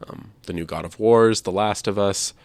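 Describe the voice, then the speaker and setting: A man with a low voice talks casually as a podcast co-host, recorded dry with very little room sound. He stresses his points and often restates them for emphasis. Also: moderate pace, no echo. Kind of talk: casual discussion of video games.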